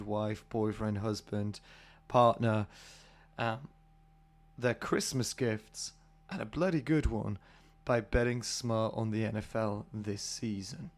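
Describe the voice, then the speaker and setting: A British man hosting a casual solo podcast, husky from a cold, chatty and rambling with many fillers. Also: fairly low pitch. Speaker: podcast host.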